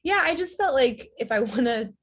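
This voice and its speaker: A woman in her thirties, a writer-director guest, chatting in a relaxed way with lots of "um"s. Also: on the high side, moderate pace, slightly rough. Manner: relaxed, fillers, light laughs. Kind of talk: casual interview chat.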